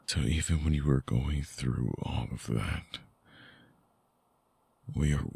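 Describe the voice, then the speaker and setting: A very deep-voiced male vampire, acted by a man, speaks slowly and tenderly to a lost lover. The voice is husky and breathy, often sinking toward a whisper, in an intimate, close-up ASMR roleplay. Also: a little mellow, no echo, exaggerated voice. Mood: sorrowful. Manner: halting, tender, intensely earnest. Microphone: close binaural microphone.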